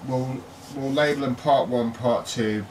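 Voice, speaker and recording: A man's voice: mid-range voice, steady tone, unhurried. A man with a British accent co-hosting a casual tech podcast, chatty and jokey, often breaking into laughter. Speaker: podcast co-host. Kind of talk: casual chat. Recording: distant mic with faint noise.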